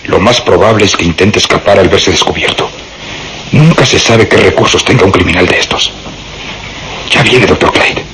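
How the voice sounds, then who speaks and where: Un actor interpretando a un inspector de policía insistente y triunfal, de hablar declamatorio, en una radionovela. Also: male voice, sits low, normal speed, heavy background noise, lightly acted. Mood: urgent, insistent. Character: insistent, triumphant male police inspector, sure of himself. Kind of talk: radio drama acting.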